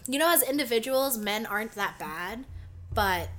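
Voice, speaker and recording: A woman's voice: fairly high pitch, lively intonation, moderate pace, a bit bright. A woman in her twenties with a congested, nasal voice, co-hosting a podcast with blunt, wry remarks. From Canada. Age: 20s. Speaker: podcast co-host. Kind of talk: casual chat.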